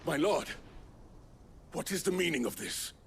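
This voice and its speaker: An actor playing a smug, corrupt trader in a video game, formal and oily in manner. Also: male voice, on the high side, sing-song, measured pace, a bit bright, a little hiss or hum, exaggerated voice. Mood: alarmed. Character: smooth, smug corrupt crystal trader, a man. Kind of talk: theatrical video game acting.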